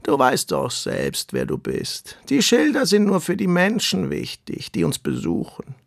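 A man voicing a calm, content female tortoise who speaks slowly and placidly in a children's bedtime story. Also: on the high side, vocal fry, no echo, clean studio sound, voice pushed up, heavily acted. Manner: slow, drawn-out, placid.

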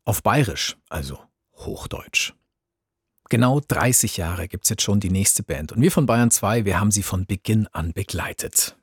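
Husky, low-voiced radio presenter man. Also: expressive, a little slow, no room sound, clean studio sound. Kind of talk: scripted radio moderation.

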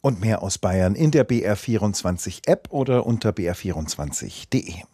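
A man's voice: fairly low pitch, lively intonation, a little fast, warm tone, husky, no room sound. A man presenting scripted news from a radio studio.

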